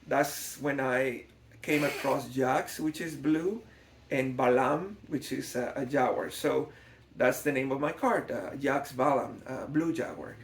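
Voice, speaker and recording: A man's voice: medium pitch, unhurried, slight background noise. A man from Guatemala gives an unscripted documentary-style explanation, thoughtful and hesitant, often repeating words as he goes. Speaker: scale model builder, documentary narrator. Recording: echoey, reverberant room.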